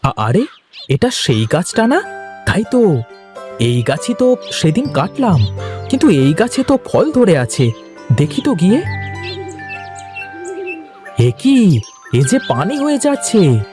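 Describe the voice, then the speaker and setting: An earnest young village woodcutter from a cartoon, acted by a man. He is determined and excitable, repeating words as his pitch swings widely. Light music sits behind him. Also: takes it slowly, long pauses, heavily acted. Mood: surprised, excited. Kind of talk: cartoon voice acting.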